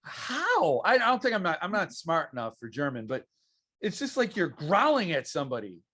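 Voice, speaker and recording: A man's voice: fairly high pitch, wildly swooping pitch, moderate pace, a bit bright, breathy edge. A man from the United States hosting a casual interview, chatty and self-deprecating, with frequent restarts. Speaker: podcast host.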